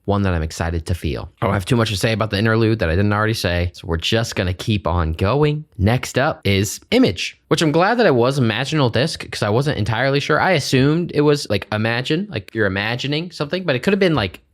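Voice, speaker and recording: A man's voice: mid-range voice, animated, quick pace, hardly any pauses. Chatty congested man reacting to music. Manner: chatty, rambling, stumbles over words. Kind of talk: casual reaction commentary. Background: album music playing.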